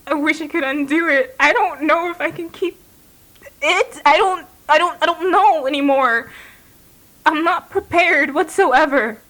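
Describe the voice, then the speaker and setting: Audio drama: uneasy, guarded young mother, fairly high voice, halting confessional monologue, emotionally raw. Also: fairly bright tone. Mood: panicked, distraught. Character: anxious, guarded young woman, reluctant new mother recording a diary.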